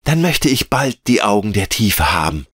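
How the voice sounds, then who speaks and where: Guy doing a curious little girl, voice pushed up high, eager n naive, storybook tale, dry sound. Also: sing-song, measured pace, a bit bright, no echo. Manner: eager, naive, lilting questions. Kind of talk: fairy-tale audiobook character.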